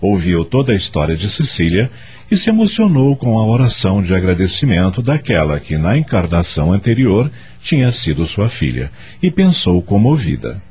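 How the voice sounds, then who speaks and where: A man's voice: lowish voice, sing-song, normal speed, darkish tone, husky, full character voice. A man with a Brazilian accent narrating a radio drama in solemn storytelling style.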